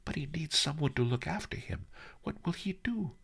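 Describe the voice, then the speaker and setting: Male narrator as a dying wife, high, faint and breathy; storybook reading. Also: animated, moderate pace, fairly bright tone, exaggerated voice. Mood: pleading. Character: dying gentle wife, frail, whispering.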